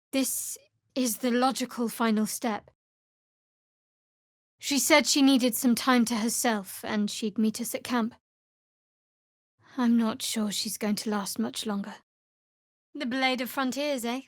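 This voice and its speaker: A woman playing a guarded, wry fantasy cleric adventurer in a video game, with a dry, measured, faintly sardonic delivery. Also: fairly high pitch, no room sound, close to an everyday voice.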